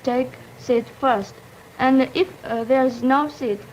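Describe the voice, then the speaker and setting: A young woman from Japan, Japanese her first language, speaks English in a fairly high voice. She talks carefully, pausing to find her words. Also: slight background noise, poor audio. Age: young adult. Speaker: student debater.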